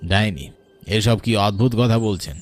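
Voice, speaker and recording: A man's voice: fairly low pitch, expressive, moderate pace, soft background music, lightly acted. A man playing a skeptical, determined police officer in a horror cartoon, with an authoritative, dismissive manner. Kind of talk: Bengali horror cartoon acting.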